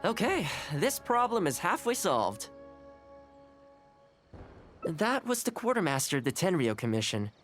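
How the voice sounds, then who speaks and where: An actor playing a smooth, easygoing young male negotiator in a video game, relaxed, courteous and persuasive. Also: high-pitched, measured pace, pauses often, faint music behind, heavily acted. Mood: composed, confiding. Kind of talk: video game character dialogue.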